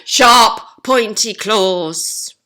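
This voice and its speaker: A woman's voice: medium pitch, drawn-out words, pushed and bright. A woman storyteller reading expressively to young children, with chatty questions and asides to them. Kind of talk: animated storybook narration with asides to listeners.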